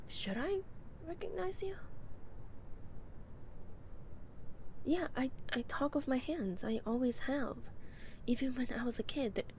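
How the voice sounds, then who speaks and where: Woman playing a slow, soft-spoken teasing goth girl. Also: sits high, slight background noise. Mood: puzzled, disbelieving. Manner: unhurried, playful teasing with dry humor. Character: college-age goth woman, guarded then warm, teasing childhood friend. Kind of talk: ASMR roleplay.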